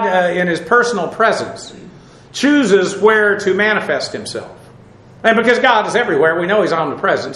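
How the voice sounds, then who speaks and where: A man preaching a verse-by-verse sermon, teaching in a measured way, with frequent fillers and restarts. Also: sits high, bright, forceful tone. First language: English. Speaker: pastor. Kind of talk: expository sermon. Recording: echoey room.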